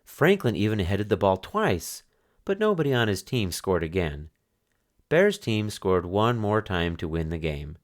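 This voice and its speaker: A man reading a children's picture book aloud as narrator, in expressive storytelling style. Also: on the low side, unhurried.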